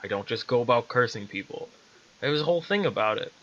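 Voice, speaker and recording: Wry storybook witch, sassy and casual, bright tone performed by a man, slightly dull recording. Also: medium pitch, animated, fast talker, lightly acted.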